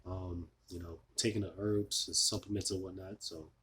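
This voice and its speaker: A man losing his voice, giving an informal scripture sermon, halting with many fillers and restarts. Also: deep voice, steady tone, bright, forceful tone, husky. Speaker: preacher.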